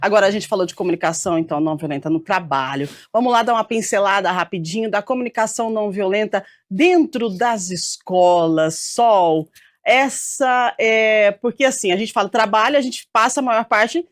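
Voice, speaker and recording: A woman's voice: mid-range voice, normal speed. Woman talk show host, upbeat, keeps saying uhum, mid voice. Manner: enthusiastic, frequent affirmations. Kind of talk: studio talk show hosting.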